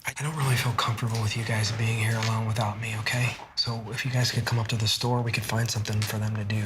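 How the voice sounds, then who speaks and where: A man's voice: lowish voice, little pitch movement, fast, noisy background, lightly acted. An American man acting as a stubborn, defensive conservative father and shopkeeper in a naturalistic film. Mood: casual, uneasy.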